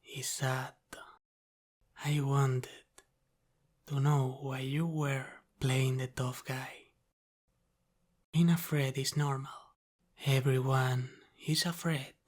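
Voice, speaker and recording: Man playing a slow, hushed, teasing mafia boss. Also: mid-range voice, level tone. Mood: reassuring, protective. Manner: slow, deliberate, hushed, slipping into whispers. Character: teasing, protective Italian-American mafia boss, calmly in control. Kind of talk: ASMR roleplay, intimate and soft-spoken.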